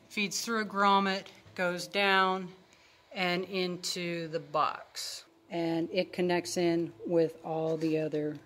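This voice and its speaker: A woman's voice: on the low side, fairly bright tone. A middle-aged woman giving a casual, plainspoken, step-by-step tutorial as a DIY video host. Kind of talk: casual hands-on tutorial narration.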